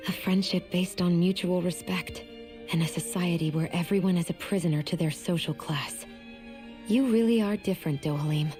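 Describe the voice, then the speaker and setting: Soft-toned, earnest young woman voiced by an actress. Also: on the low side, normal speed, soft background music, close to an everyday voice. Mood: admiring, reflective. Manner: earnest, composed, articulate. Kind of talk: dramatic video game voice acting.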